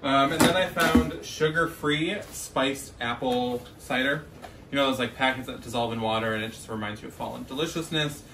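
A young man talks casually and effusively as a vlog co-host. His voice is mid-pitched. It is picked up from a short distance in a roomy space with faint noise. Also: moderate pace. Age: young adult. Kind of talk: casual grocery haul chat to camera. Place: small kitchen at home, indoors. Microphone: camera a few feet away.

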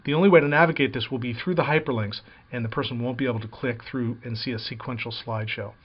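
A man narrates a step-by-step software walkthrough in a plain, explanatory way, pausing often with uh and um. His voice sits at an ordinary middle pitch. The recording is dull and lo-fi, made at a desktop computer. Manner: plain explanatory, frequent "uh" and "um" fillers. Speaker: software tutorial presenter.

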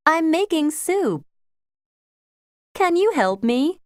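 A woman playing a gentle, caring mother in scripted dialogue for an English-lesson cartoon. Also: high voice, sing-song, slow, no echo, studio quality, full character voice.

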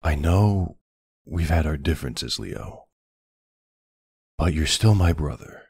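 A man acts out a doting mafia boss husband and father in an intimate audio roleplay. His voice is very deep, with gravelly fry, and he speaks slowly and softly with tender, hesitant pauses. The sound is close and dry. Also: lively intonation, dry recording, heavily acted. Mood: earnest, warm. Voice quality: gravelly, husky. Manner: soft, unhurried, hesitant pauses. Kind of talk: intimate ASMR roleplay acting.

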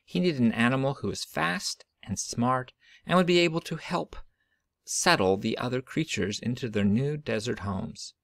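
Creaky-voiced man reading a storybook aloud. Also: medium pitch, moderate pace, a bit bright, no room sound, studio quality. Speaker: storybook reader. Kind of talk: expressive read-aloud of a children's picture book.

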